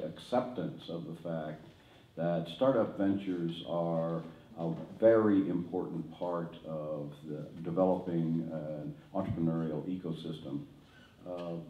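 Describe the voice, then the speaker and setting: A man in his seventies from the United States, giving advice on a panel deliberately, with long pauses. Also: sits low, warm tone. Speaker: expert panelist. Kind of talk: panel discussion, spontaneous advice. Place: large indoor auditorium. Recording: very echoey conference hall.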